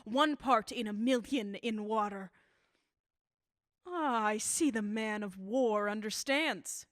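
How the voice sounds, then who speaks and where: Radio drama: bitter elderly female inventor of deadly substances played by an actress, higher-pitched, theatrical and deliberate, close dry sound. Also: a bit bright, no room sound. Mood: grimly proud. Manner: theatrical, deliberate, formal phrasing.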